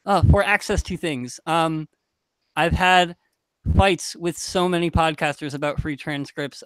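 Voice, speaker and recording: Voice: medium pitch, fairly bright tone, dry recording. A young adult podcast panelist speaking casually, frankly and emphatically in a group discussion.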